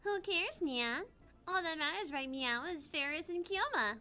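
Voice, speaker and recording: Cutesy anime catgirl maid, playful, really high n bright voice, meowy talk. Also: lively intonation, moderate pace, faint music behind. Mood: playful, clingy. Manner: cutesy, cat-like verbal tics. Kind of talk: anime-style visual novel voice acting.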